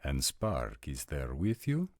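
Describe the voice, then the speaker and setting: Man acting as stern, very deep vampire prince. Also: huge pitch swings, slow pace, darkish tone, dry recording, clean studio sound, exaggerated voice. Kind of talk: roleplay audio drama acting.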